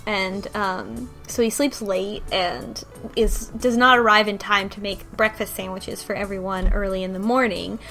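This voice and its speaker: A woman hosting a casual group discussion, retelling a story in a halting, conversational way. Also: mid-range voice, steady tone, bright, forceful tone, faint music behind. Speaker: podcast host recapping the story. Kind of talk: casual group recap discussion of a visual novel.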